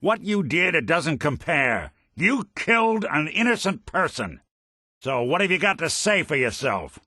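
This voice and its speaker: A man's voice: lowish voice, big pitch swings, moderate pace, a bit bright, no room sound, studio quality, full character voice. A male actor playing a world-weary, principled android detective in a video game, with a wry, folksy hardboiled way of talking and old-fashioned slang. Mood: angry. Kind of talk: video game companion dialogue, noir detective.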